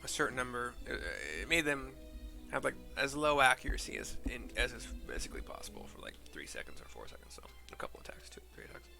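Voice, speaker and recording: Man, casual game streamer, gravelly creaky voice, rambling informal talk, game music behind. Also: medium pitch, wildly swooping pitch, quick pace, pushed and bright. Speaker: game streamer reading quest dialogue aloud. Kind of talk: casual gameplay commentary and narration.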